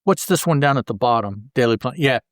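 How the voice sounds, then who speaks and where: A man's voice: medium pitch, expressive, quick pace, no room sound, clean studio sound. A man co-hosting a podcast, talking through comic books in a casual, rambling, conversational way. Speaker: podcast co-host. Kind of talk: casual comic book discussion.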